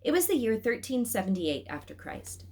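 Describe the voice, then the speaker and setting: Animated woman reading a story aloud. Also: on the high side, normal speed. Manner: animated, addresses listeners with asides. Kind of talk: expressive informal read-aloud narration.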